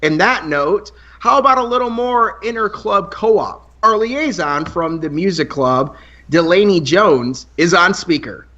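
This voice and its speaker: A high-pitched, playful high school boy played by a man chats as co-host of a campus radio show. He talks in wordy announcer patter, in an amateur radio drama with a slightly muffled lo-fi recording. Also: animated, a little slow, pushed and bright, exaggerated voice. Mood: playful, wry. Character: male eleventh-grade student co-hosting a campus radio show, playful, wordy.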